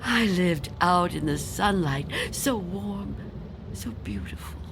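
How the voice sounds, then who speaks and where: A woman's voice: lowish voice, expressive, takes it slowly, noisy background. An actress playing a frightened, reclusive old woman afraid of death in a radio drama, tremulous and pleading. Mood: wistful.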